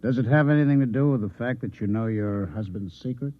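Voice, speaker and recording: An actor playing a cold, controlling Martian agent posing as a reporter, speaking formally and with quiet menace in an old-time radio drama. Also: male voice, mid-range voice, brisk, no echo, close to an everyday voice. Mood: probing, insinuating. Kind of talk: golden-age radio drama acting. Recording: vintage radio broadcast, narrow phone-like band.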